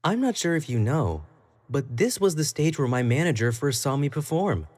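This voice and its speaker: A man's voice: fairly high pitch, sing-song, moderate pace, a little mellow. An actor playing an obsessive, clingy young male pop idol in an audio roleplay, talking to the listener in a coy, playful, doting way. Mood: playful, smug. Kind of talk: scripted roleplay monologue to the listener.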